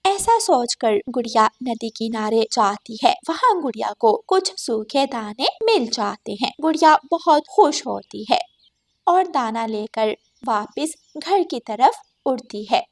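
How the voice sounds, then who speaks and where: A woman's voice: fairly high pitch, expressive, unhurried. A woman narrating a scripted children's cartoon story.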